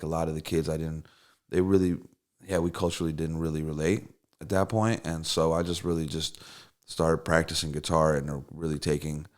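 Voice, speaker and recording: Very deep-voiced man telling rambling stories. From Russia. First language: Russian. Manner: rambling with many fillers and restarts. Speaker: songwriter and producer guest. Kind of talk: casual interview storytelling.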